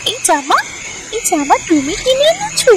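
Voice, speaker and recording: A woman voicing a spoiled, demanding young village girl in a cartoon, whiny and excitable. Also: very high voice, normal speed, exaggerated voice. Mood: petulant. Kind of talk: cartoon voice acting. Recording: music under the voice.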